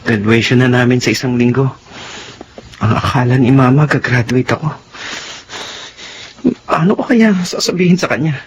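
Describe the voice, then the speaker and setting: An actor playing an anxious Filipino schoolboy, the younger brother in a radio drama, excitable and prone to stammer. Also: male voice, medium pitch, moderate pace, long pauses, noisy background, close to an everyday voice. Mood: worried. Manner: excitable, stammering when worried.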